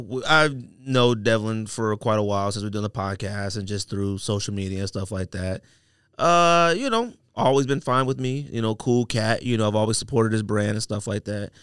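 A man's voice: medium pitch, a bit bright, clear, resonant tone, no room sound, clean studio sound. A man hosting a podcast in casual conversation, with blunt, opinionated, slangy talk. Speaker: podcast host. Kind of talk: casual chat about sneakers. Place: studio.

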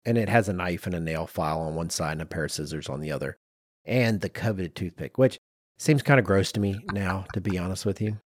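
A man's voice: low voice, a little fast, no echo, studio quality. A middle-aged man co-hosting a podcast, telling researched stories informally with personal asides. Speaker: podcast co-host. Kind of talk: conversational storytelling from research.

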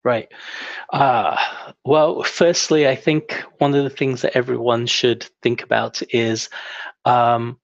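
A young adult man speaking as an interview guest, relaxed and self-deprecating with lots of fillers. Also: mid-range voice, slow, vocal fry, no room sound. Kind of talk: casual interview talk. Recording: dull, dry lo-fi sound.

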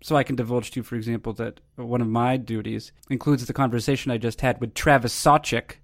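A man's voice: medium pitch, steady tone, quick pace, dry recording. A man hosting a podcast with dry, wordy, mock-formal delivery and wry banter. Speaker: podcast host.

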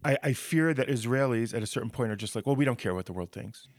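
A man's voice: medium pitch, quick pace, no echo, studio quality. A man co-hosting a podcast, asking probing questions in an earnest, somewhat frustrated way. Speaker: podcast co-host. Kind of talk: podcast conversation.

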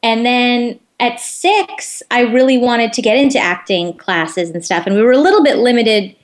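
A woman's voice: fairly high pitch, normal speed. A young woman from Canada with a North American accent, chatting informally as an interview guest. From Winnipeg, Canada. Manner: chatty, informal, uses "like" often. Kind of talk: casual chat.

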